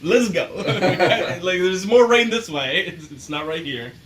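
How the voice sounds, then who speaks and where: A man with a fairly high, lively voice joins a tabletop game as a player. His talk is casual and jokey, swinging widely in pitch, with noticeable room noise behind him. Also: slow pace. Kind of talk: casual joking chat. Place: in-person game session in a room. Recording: clear background room noise.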